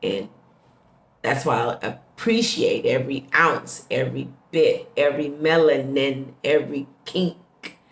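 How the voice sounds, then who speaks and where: A middle-aged American woman from the Midwest telling personal stories casually, with expressive emphasis and repeated phrases. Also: a bass voice, creaky. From Midwestern United States. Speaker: host. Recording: roomy, echoing sound.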